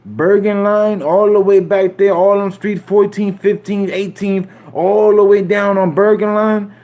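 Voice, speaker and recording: A man's voice: sits high, steady tone, a little slow, darkish tone. A man from Puerto Rico chatting loosely with his live audience in street slang, repeating himself and tossing in "you feel me" asides. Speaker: streamer talking to live chat. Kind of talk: casual rambling commentary.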